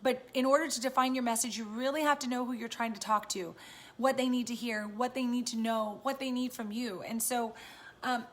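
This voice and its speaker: A woman with a raspy voice, chatting casually and encouragingly to viewers on a live stream from home. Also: on the high side, fairly even intonation, a little fast. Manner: chatty and encouraging, many fillers. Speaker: live-stream host and business coach.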